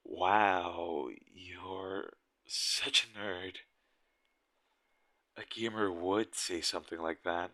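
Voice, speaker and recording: A man's voice: low voice, very slow, long pauses, bright, forceful tone, dry recording, heavily acted. A man playing a shy, smitten game developer on a date in a romantic audio roleplay, speaking nervously and hesitantly straight to the listener. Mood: surprised, fond. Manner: awkward, nervous, hesitant and stammering.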